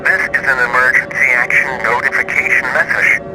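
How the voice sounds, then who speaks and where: A man's voice: mid-range voice, steady tone, fairly quick, over music, poor audio. A man reading a formal scripted emergency announcement as a broadcast announcer.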